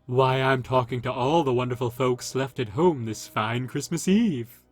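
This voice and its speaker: A man's voice: medium pitch, moderate pace, soft background music, close to an everyday voice. A man voicing a gleefully sinister children's TV creature in a horror story, with a theatrical, mock-sweet showman delivery. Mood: sinister cheer. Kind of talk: horror story character reading.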